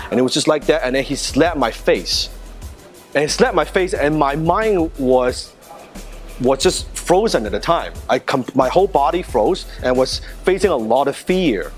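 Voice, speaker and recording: A man teaching a martial arts lesson, telling a personal story and coaching a drill in an earnest, conversational way with frequent restarts. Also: fairly high pitch. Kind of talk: teaching a self-defense lesson.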